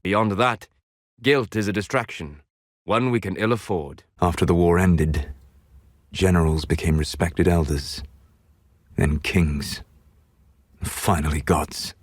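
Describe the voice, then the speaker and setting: A man playing a solemn, learned elven mage in a video game, proud and regretful, speaking in a measured, formal way. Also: low-pitched, dry recording, clean studio sound. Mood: solemn, reflective. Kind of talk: scripted game dialogue.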